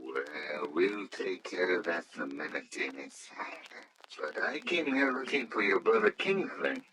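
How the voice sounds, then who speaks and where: An actor playing a kindly, take-charge gentleman with a polished, reassuring manner in old-time radio style. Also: low voice, very animated, unhurried, heavily acted. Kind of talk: 1940s radio acting. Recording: noisy old radio drama recording.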